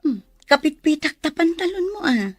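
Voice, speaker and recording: A woman's voice: high-pitched, sing-song, normal speed, no room sound. A woman playing a remorseful, worried mother in an Ilocano radio drama, tender and emotional. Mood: tender, concerned. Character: remorseful, worried mother visiting her son.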